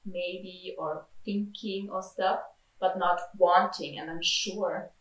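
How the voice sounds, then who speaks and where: A woman's voice: lowish voice, steady tone, slow, fairly bright tone. A woman, speaking hesitantly with many fillers as she searches for words in a candid interview. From Austria. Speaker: retreat participant. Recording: roomy, distant mic.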